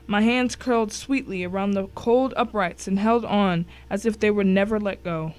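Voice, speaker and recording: A woman reads a suspense story in the first person. Her medium-pitched voice carries a dramatic narrating style. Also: moderate pace, a little mellow.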